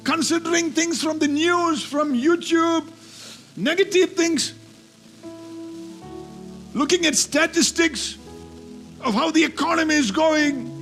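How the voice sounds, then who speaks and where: High-pitched male preacher, emphatic delivery. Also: slow, pauses often, a bit bright, faint music behind. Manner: emphatic, repeats key phrases for emphasis. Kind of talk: sermon with scripture reading and call-and-response.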